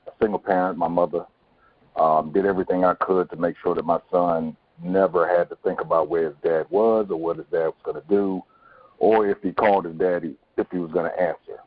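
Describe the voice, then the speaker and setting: A middle-aged man answering personally and sincerely as an interview guest, in a halting manner. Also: deep voice, no room sound. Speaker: stage actor and podcast guest. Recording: muffled phone-like call.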